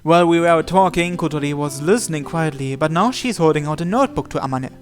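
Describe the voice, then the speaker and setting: High-voiced man reading a story aloud. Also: fast. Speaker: gamer reading a visual novel aloud. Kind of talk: read-aloud story narration. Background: game music.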